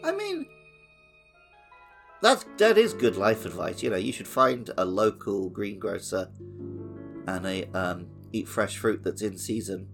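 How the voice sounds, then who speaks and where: Low-voiced man chatting, slightly gravelly. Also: very animated, a little slow, pauses often, music in the background. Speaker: podcast co-host. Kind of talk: casual chat.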